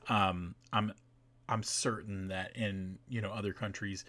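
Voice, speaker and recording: Male stream host, lower register, informal and halting, talking through things casually. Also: flat delivery, pushed and bright. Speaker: map maker and stream host. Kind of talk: casual livestream commentary.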